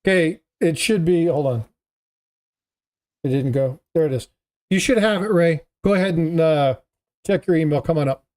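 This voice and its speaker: A man hosts a relaxed livestream, rambling along with easy self-deprecation. His voice sits a little higher than most men's, and his talk is loose and unscripted. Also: normal speed, pauses often. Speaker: livestream host. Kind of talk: casual chat.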